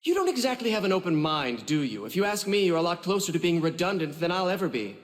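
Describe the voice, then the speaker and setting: A man's voice: high voice, sing-song, fast. A man voicing a smug, flippant, condescending schemer in an anime game dub, with a theatrical, mocking drawl. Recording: long hall reverb, music underneath.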